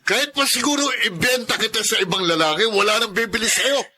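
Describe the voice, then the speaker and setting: A man playing a violent, abusive, contemptuous drunkard husband in a radio drama, snarling and shouting insults. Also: high voice, animated, fast, exaggerated voice. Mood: angry, contemptuous.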